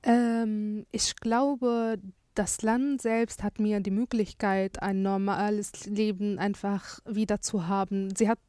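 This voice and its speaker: Syrian woman with smooth, clear voice. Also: mid-range voice, level tone, slow pace, dark tone, no echo, studio quality. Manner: thoughtful, searches for words with frequent hesitations. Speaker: guest, TV journalist. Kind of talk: radio interview.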